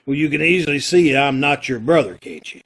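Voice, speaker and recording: Husky, wry, guarded prisoner acted by a man. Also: fairly high pitch, expressive, brisk, a bit bright, full character voice, low-quality recording. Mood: guarded, curt. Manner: curt, casual, wry. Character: guarded, wry, world-weary male condemned prisoner. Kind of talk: amateur old-time radio play acting.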